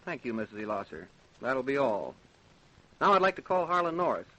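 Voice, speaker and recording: An actor playing a measured, formal American inquest examiner questioning witnesses in an old-time radio drama. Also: male voice, medium pitch, sing-song, bright, forceful tone, poor audio. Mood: businesslike, patient.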